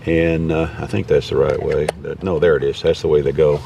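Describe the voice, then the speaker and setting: A man's voice: very deep voice, little pitch movement, a little fast, gravelly, muffled recording. A man hosting a casual, unscripted gear review in a folksy, rambling way. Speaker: video host reviewing gear. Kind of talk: casual unscripted product demonstration. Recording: distant mic, background noise.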